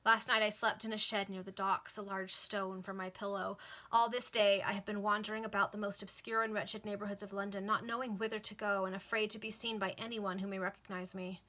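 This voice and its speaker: A woman's voice: medium pitch, little pitch movement, brisk, pushed and bright, natural voice. Hollow, wretched male convict, voiced by a woman. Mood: weary. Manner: melancholy, subdued. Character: wretched, starving, sorrowful escaped male convict.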